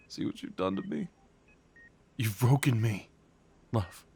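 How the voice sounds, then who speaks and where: A man playing a devoted, desperate crime boss at his lover's bedside in an intimate audio roleplay, speaking in a halting, emotional way. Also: on the low side, darkish tone, husky, exaggerated voice. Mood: broken, pleading. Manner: slow, halting, emotional, pleading delivery.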